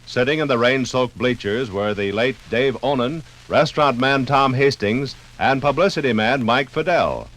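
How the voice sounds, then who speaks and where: A man's voice: mid-range voice, normal speed, hardly any pauses, fairly bright tone, rough recording. American man, 1950s radio documentary narrator. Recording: 1958 radio program from LP record. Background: faint music.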